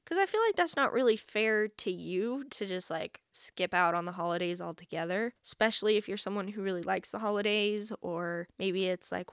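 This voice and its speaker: A woman's voice: mid-range voice, clean tone, no room sound, clean studio sound. A woman in her twenties hosting a podcast solo, chatting casually and informally with a lot of "like" sprinkled in. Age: 20s. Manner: chatty, informal, heavy use of "like". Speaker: podcast host.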